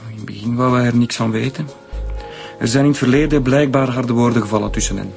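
A man's voice: mid-range voice, fast talker, gravelly, soft background music, natural voice. A man playing a resentful nephew in a radio drama, terse and bitter, with a Flemish accent. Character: resentful adult nephew of a priest.

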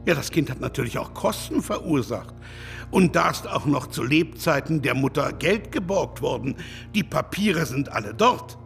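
A man's voice: a little high, lively intonation, moderate pace, creaky, fairly bright tone, faint music behind. Oily, insinuating male schemer. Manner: formal, hesitant, insinuating. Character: oily, scheming male creditor, falsely deferential. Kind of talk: radio drama acting.

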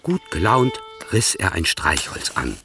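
A man narrating a radio drama in a measured, even storytelling manner. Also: sits low, over music.

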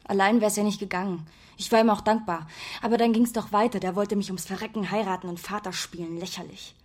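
Actress doing hurt jealous young woman in a radio play, raspy, raw and bitter, defiant. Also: mid-range voice, fairly even intonation, quick pace, close to an everyday voice. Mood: bitter, resigned. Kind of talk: emotional radio drama dialogue.

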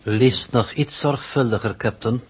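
Husky, coldly pedantic spaceship coordinator. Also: male voice, mid-range voice, measured pace. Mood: cold reproof. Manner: formal, precise, clipped officialese. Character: cold, pedantic, exacting male coordinator on a spaceship. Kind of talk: 1960s radio drama acting. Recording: old 1960s radio recording.

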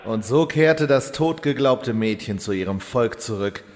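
A man's voice: medium pitch, expressive, measured pace, natural voice. A man playing a pompous, solemn storyteller, narrating a mock fantasy epic from a script. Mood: solemn, grandiose. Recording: long reverb, faint music behind.

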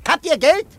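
A man's voice: very high voice, gravelly, fairly bright tone, slight background noise, heavily acted. An actor playing a mocking village barber who cackles as he taunts newcomers in a radio play. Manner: mocking, cackling between phrases. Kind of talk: German radio play acting.

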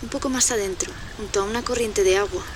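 Radio drama heroine: actress as a sensual, wondering woman in love, medium pitch, clear background noise. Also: fast talker, lightly acted. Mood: sensual, dreamy. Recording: noisy outdoor soundscape, recorded remotely.

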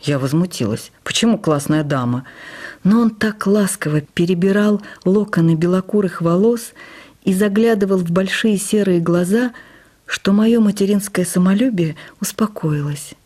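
Woman narrating old memoir for radio, dark soft tone, slightly husky, intimate and expressive. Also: mid-range voice, normal speed. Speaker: actress reading a memoir.

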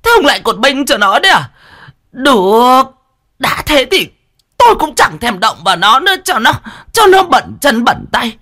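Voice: high-pitched, big pitch swings, moderate pace, bright, forceful tone, pitched above the performer's own voice, full character voice. A narrator with a Northern Vietnamese accent voicing a furious, jealous first wife who shrieks and hisses her scolding. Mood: cold fury.

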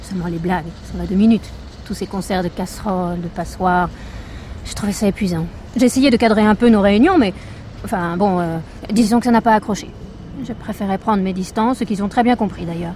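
Haughty, fussy female witness, played by an actress: condescending, prim, chatty, dark mellow timbre, outdoor traffic ambience behind. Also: mid-range voice, a little fast, natural voice. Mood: complaining, indignant. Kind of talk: naturalistic French fiction podcast acting.

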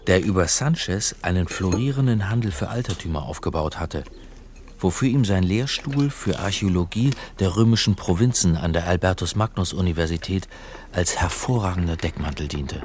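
A man's voice: sits low, normal speed, a little mellow, slight background noise, lightly acted. An actor playing a wry, exasperated male academic turned amateur sleuth in a German radio crime drama, speaking conversationally with some hesitation. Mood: wry narrating. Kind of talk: German radio play, narration and dialogue.

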